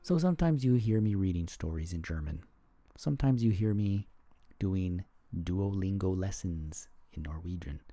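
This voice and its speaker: A man hosting his own podcast, speaking in a thoughtful, reflective monologue and sometimes pausing to search for words. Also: low voice, animated, warm tone, faint music behind. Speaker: podcast host.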